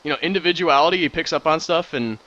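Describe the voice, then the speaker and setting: A man in his thirties, excitable and rambling, chatting casually while playing a building game. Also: fairly high pitch, quick pace, lo-fi sound. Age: 30s. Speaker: Minecraft builder and streamer. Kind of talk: casual commentary while gaming.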